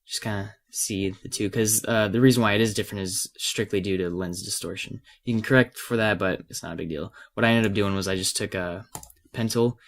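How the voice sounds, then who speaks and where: A man with a cold and a slightly raspy voice gives a casual, unscripted software tutorial, hesitating and thinking aloud as he works. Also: fairly low pitch, fairly even intonation, fairly quick, few pauses. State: has a cold. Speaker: tutorial presenter.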